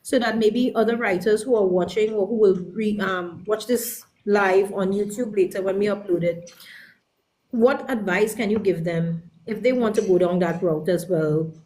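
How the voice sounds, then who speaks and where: A woman's voice: medium pitch, normal speed, darkish tone, low-quality recording. A woman hosting a live interview show, leading with long framing questions to her guest. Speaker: talk show host.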